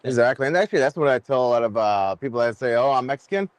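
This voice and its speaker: A man hosting a podcast, with an easygoing manner, quick encouraging replies and frequent laughter. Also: medium pitch, expressive, dry recording. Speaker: podcast host. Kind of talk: casual podcast chat.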